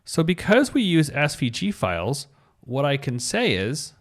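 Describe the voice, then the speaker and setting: A man's voice: a little high, wildly swooping pitch, a little slow. A man hosting a step-by-step coding tutorial, explaining as he goes and spelling things out in an instructional way. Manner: instructional, spells out code letter by letter. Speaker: web design tutorial host.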